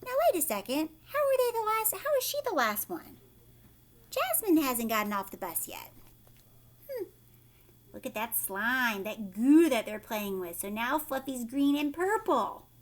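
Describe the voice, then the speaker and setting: A woman reading a children's story aloud like a teacher, expressively, pausing to ask her young listeners questions. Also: high voice, big pitch swings.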